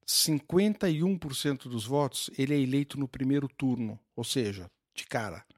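A man's voice: mid-range voice, moderate pace, dry recording, clean studio sound. An older man, a guest patiently explaining something, with many fillers and restarts. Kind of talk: casual explanatory conversation.

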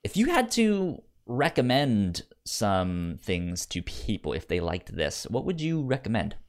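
A man co-hosting a casual review discussion, halting and repeating words as he thinks out loud. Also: fairly low pitch, very animated. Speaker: podcast co-host reviewing comics.

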